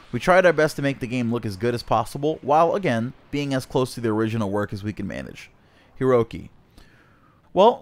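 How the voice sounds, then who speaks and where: A man with a mid-pitched voice hosts a gaming news segment. He reads from a prepared script, narrating an interview for viewers. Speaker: gaming news channel host.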